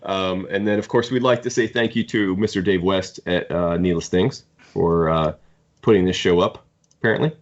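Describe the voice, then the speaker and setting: A man hosting a podcast, casually discussing books in a halting, opinionated fan's way. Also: deep voice, steady tone. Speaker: podcast host. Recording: dull lo-fi podcast audio.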